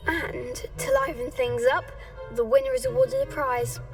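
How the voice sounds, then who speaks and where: A young schoolboy organizing duels in a fantasy game. The voice is extremely high, eager and self-assured, like an articulate little showman. It is slightly off the mic with music clearly playing behind. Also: big pitch swings, unhurried, distant mic, full character voice. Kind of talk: video game character acting.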